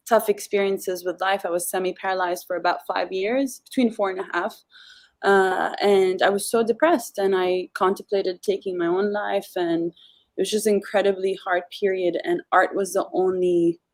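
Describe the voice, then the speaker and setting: A woman's voice: medium pitch, darkish tone, no room sound. A woman introducing herself as a panelist and telling her personal story. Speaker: panelist, artist and coach.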